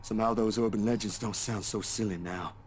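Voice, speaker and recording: A man's voice: lowish voice, fairly even intonation, brisk, soft background music, lightly acted. An actor playing an anxious, friendly young male photographer in a visual novel, hesitant and reassuring. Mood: uneasy. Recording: loud noise over game playback.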